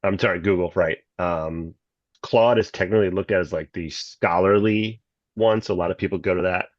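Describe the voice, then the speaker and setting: A man's voice: sits low, normal speed, no echo. A man taking part in a group, answering questions casually and off the cuff. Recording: dull, lo-fi, dry audio.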